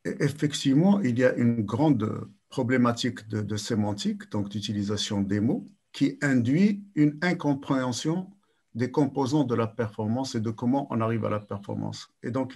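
Older male lecturer, gravelly and husky, measured and repetitive delivery, webcam audio. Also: medium pitch, a little mellow. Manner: measured, repeats words while searching phrasing. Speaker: sports science speaker. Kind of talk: video conference lecture. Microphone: webcam on video call.

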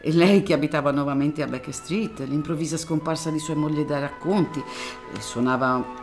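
A woman playing a low-voiced elderly lady. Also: faint music behind, lightly acted. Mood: composed. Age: older. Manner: formal, measured and courteous. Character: elderly former stage singer, poised and gracious woman. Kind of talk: audio drama acting.

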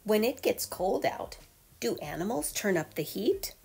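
A woman's voice: mid-range voice, very animated, a little slow, a little mellow, soft background music. A woman reading a children's picture book aloud like a teacher, with emphatic storytelling delivery. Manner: clear storytelling delivery with emphatic questions and answers.

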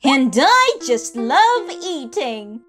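A woman voicing a small, excitable child character in a children's puppet show, with a cutesy, drawn-out delivery. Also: sits high, exaggerated voice. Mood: delighted. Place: studio voice-over. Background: children's music.

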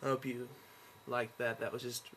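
A tired young man rambling in a low-key way to a webcam from his bedroom, recording a casual video diary. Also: flat delivery, moderate pace, a bit bright, a little hiss or hum. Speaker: vlogger. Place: bedroom at home.

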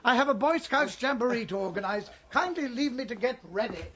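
An older actor with an English accent playing a pompous, huffy elderly scoutmaster with a husky voice in a radio comedy. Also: male voice, very high voice, animated, brisk, muffled recording, noisy background. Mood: indignant. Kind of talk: radio comedy drama acting.

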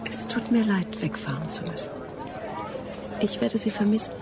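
An actress playing a spirited, warm and teasing young English lady traveller in a German radio drama. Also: mid-range voice, expressive, normal speed, very noisy, close to an everyday voice. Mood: tender, wistful.

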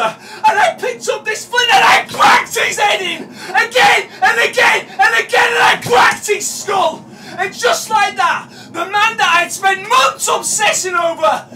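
An actor with a British accent playing a haunted, obsessive man confessing to a killing in a halting, repetitive live horror monologue that swings between hush and shouting. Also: extremely high pitch, a little hiss or hum, full character voice. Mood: frenzied, anguished. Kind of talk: live dramatic monologue of horror audio drama. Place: small dim café stage before seated audience. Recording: distant live room mic, music behind.

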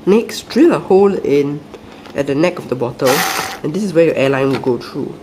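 A young man with a croaky, soft voice after a flu, hosting a casual hobby tutorial and explaining each step with small hesitations and restarts. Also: a little high, expressive, a little fast, very noisy. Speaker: aquarium hobby channel host. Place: indoors at a table.

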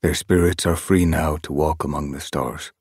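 A man voicing a weary, grieving male clan leader cat in a storybook reading, speaking in a quiet murmur. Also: extremely low pitch, fairly even intonation, moderate pace, breathy edge, no room sound, clean studio sound. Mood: sorrowful.

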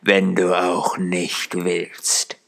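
A murderous fairy-tale husband, voiced by a male storyteller, commanding and full of threat. He speaks slowly, in a low, gravelly creak, with anger under each word. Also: monotone, a bit bright, exaggerated voice. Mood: angry. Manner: slow, threatening, angry.

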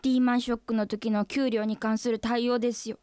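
A woman's voice: a little high, little pitch movement, fairly quick, darkish tone, dry recording, natural voice. An actress playing a stern, exasperated female employee in a comedic radio drama, confronting her boss in polite but blunt, matter-of-fact speech. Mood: accusing, firm.

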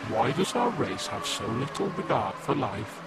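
A man's voice: mid-range voice, sing-song, moderate pace, very noisy, close to an everyday voice. A man voicing a troubled renegade Cyberman who speaks earnestly and questioningly.

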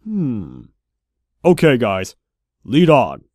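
Man playing a lively, level-headed hero warrior. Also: mid-range voice, very slow, dry recording, clean studio sound, heavily acted. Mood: measured. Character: dry, level-headed heroic male warrior leader. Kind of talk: cartoon fan dub acting.

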